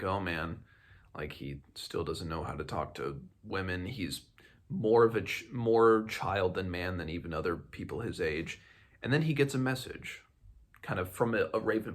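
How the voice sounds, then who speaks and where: A man hosting a book read-along, talking casually and haltingly as he thinks aloud. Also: sits low, fairly bright tone.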